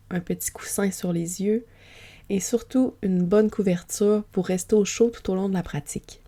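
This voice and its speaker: A woman gently guiding a yoga nidra relaxation for sleep, with a soft, breathy, soothing and unhurried delivery. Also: fairly low pitch. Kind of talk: guided sleep relaxation, meditation narration.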